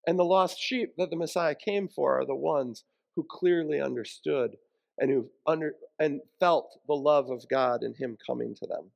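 A man's voice: on the high side, normal speed, vocal fry, darkish tone, muffled recording. A man preaching a sermon as a pastor, explaining things in a plain-spoken, conversational way.

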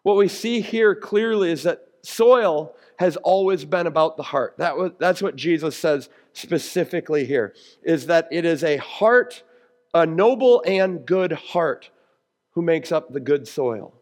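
High-pitched American man preaching. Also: animated, measured pace. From United States. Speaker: pastor preaching. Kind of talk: sermon, explaining scripture.

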